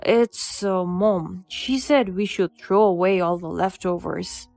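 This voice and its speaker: A woman's voice: on the low side, fairly even intonation, takes it slowly, gravelly, darkish tone, dry recording, soft background music, voice pushed down. A woman doing a nervous mama's-boy husband.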